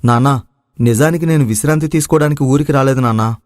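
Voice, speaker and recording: A man's voice: medium pitch, fast, no echo, studio quality, lightly acted. A man acting an earnest, aggrieved young village son in a moral story drama. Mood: earnest, hopeful. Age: young adult.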